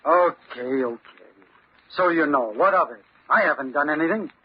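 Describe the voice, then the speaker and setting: High, husky, blustering crook. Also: male voice, normal speed. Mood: defensive, desperate. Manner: blustering, defensive, excitable. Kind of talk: old-time radio crime acting. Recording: dull, lo-fi old radio recording.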